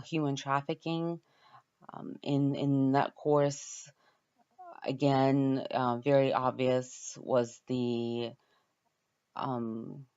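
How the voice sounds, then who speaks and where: A woman with a Ukrainian accent giving an informal self-introduction as a student, often pausing to search for her words. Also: extremely low pitch, darkish tone, clean tone, highs cut off. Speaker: university student introducing herself.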